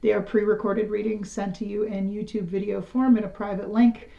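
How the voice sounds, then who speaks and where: Woman, middle-aged, raspy and a bit hoarse, frank rambling tarot chat, mid-pitched voice. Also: flat delivery, fairly quick, dull audio. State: slightly hoarse after illness. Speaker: tarot reader. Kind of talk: casual tarot reading to camera.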